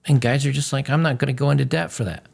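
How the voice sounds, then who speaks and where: Host type: gravel-voiced man, blunt and sneering, reacts to clips. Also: medium pitch, quick pace. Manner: blunt, mocking, animated.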